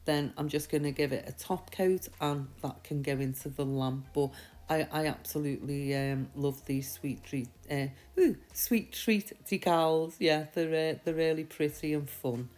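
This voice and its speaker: A woman with a slightly croaky voice from a mild sore throat, giving a chatty, reassuring, step-by-step tutorial. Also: low-pitched, fairly even intonation, a little slow, a little mellow. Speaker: nail art tutorial host. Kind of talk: casual step-by-step tutorial voice-over.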